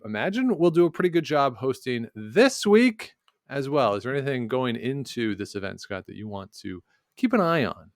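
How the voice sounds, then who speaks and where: A man's voice: mid-range voice, sing-song, moderate pace, dry recording, studio quality. A man co-hosting a sports podcast, chatting casually and rambling, often correcting himself mid-sentence. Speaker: podcast co-host.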